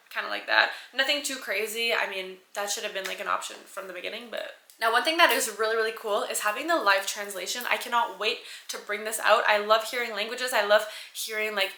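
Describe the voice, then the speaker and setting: A woman's voice: on the high side, bright, forceful tone, reverberant. A woman from Canada whose first language is French, chatting to camera as a vlogger in an enthusiastic, upbeat way. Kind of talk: casual chat to camera.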